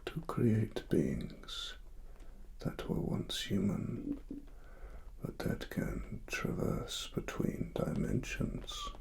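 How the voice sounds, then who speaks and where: A British man performing a softly spoken ASMR roleplay as a grave cyberpunk investigator, breathy and partly whispered, with deliberate pauses. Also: mid-range voice, huge pitch swings. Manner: slow, deliberate, hushed, with long pauses. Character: grave cyberpunk investigator uncovering corporate wrongdoing.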